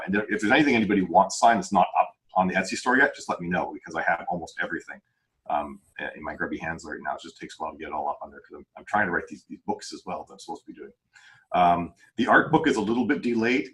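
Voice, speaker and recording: A man with a very low-pitched voice talks at a rapid clip. He is chatty and informal, using casual slang as he hosts a relaxed podcast conversation. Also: poor audio. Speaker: independent author and podcast host. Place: video call group podcast.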